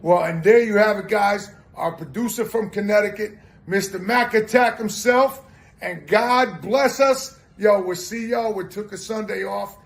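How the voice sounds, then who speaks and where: Boisterous high-voiced podcast host. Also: male voice, a little slow, vocal fry. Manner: boisterous, profane, teasing. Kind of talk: loud joking live podcast banter. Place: cigar shop.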